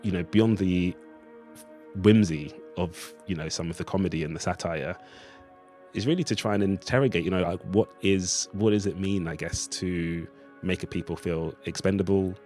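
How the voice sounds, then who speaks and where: A man's voice: sits low, normal speed, darkish tone, faint music behind. A man giving a thoughtful, discursive interview as a writer, speaking in a reflective conversational way. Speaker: writer of a radio play, interviewee.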